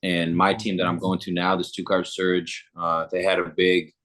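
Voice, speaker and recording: A young man in his twenties from Germany, answering interview questions in a relaxed, upbeat way with frequent filler words. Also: deep voice, normal speed. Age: 20s. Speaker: guest, football player.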